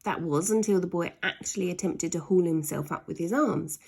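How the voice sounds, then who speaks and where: A woman's voice: fairly low pitch, brisk, gravelly, darkish tone, breathy edge. A woman reading a children's story aloud expressively, stretching key words for dramatic emphasis.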